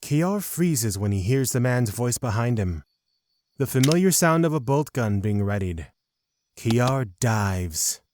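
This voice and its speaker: A man's voice: medium pitch, animated, takes it slowly, darkish tone, a little hiss or hum. A man narrating a scripted fantasy audio drama.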